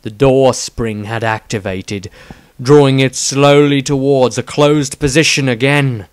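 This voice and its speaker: A man reading his own fantasy story aloud in a deliberate, dramatic storytelling manner. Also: mid-range voice, steady tone, clear, resonant tone, dry recording. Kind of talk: scripted fantasy audiobook narration.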